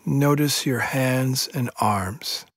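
A man leads a guided sleep meditation in a medium-pitched voice. His delivery is very slow and soothing, with long pauses between short instructions. The tone is soft and breathy. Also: no room sound.

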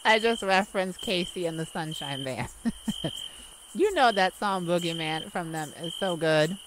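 A woman playing an outgoing, playful, sassy young best friend in an audio roleplay, theatrical and teasing, with drawn-out words. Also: on the low side, background noise, natural voice. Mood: excited. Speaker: ASMR roleplay voice actor. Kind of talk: ASMR audio roleplay, addressed to a listener.

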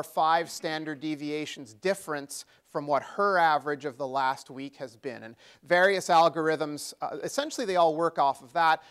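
A man's voice: fairly high pitch, smooth. A middle-aged man giving a technical seminar lecture with a handheld mic in an exhibition seminar room, explaining step by step with frequent fillers and restarts. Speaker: conference speaker. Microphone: handheld microphone.

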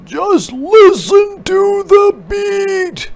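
A man's voice: extremely high pitch, very animated, very slow, vocal fry, darkish tone, soft background music, voice pushed up, exaggerated voice. A man voicing a bossy insect parade leader in a roaring, commanding manner for a storybook. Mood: rousing command.

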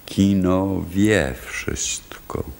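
Very deep, gravelly solemn elder. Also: male voice, drawn-out words, a little mellow, exaggerated voice. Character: solemn village elder leading a chorus. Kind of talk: radio play acting, solemn narration.

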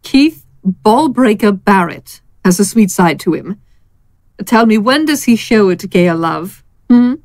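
A woman playing a sardonic, seductive and protective secret lover in an audio drama, speaking in a teasing, pointed, deliberate way. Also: medium pitch, no echo, close to an everyday voice.

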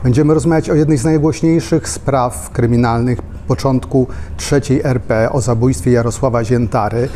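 A middle-aged man hosting, announcing a new season of events in a measured, deliberate way in a bookshop cafe. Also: mid-range voice, steady tone, dark tone, a little hiss or hum. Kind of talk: promotional announcement.

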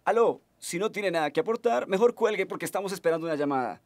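Hopeful detective, very high male pitch, Colombian accent, comic radio serial, music behind. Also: animated, fast. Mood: earnest. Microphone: studio broadcast mic.

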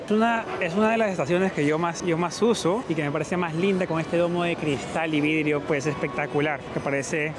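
A man's voice: on the high side, brisk, hardly any pauses, noisy background. A man in his thirties with a Peruvian accent, explaining things casually to his viewers as a travel vlogger. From Peru. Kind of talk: explanatory vlog narration, casual talk to viewers. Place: mostly outdoors on city streets. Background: background music.

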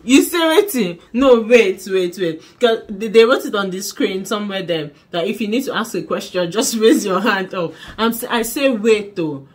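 A young woman with a Nigerian accent, telling a story to camera in a small bedroom in an animated, dramatic way. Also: medium pitch, normal speed, few pauses, slightly rough. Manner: animated, dramatic, laughing, mixing in pidgin. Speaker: vlogger. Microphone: camera in front at desk distance.

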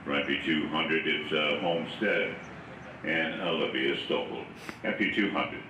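A man with an extremely low voice works as a horse show announcer. He speaks in a measured, halting way as he calls out riders and results. His voice comes from far off over outdoor loudspeakers, echoing, with clear noise around it. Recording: echoey distant outdoor PA, noisy.

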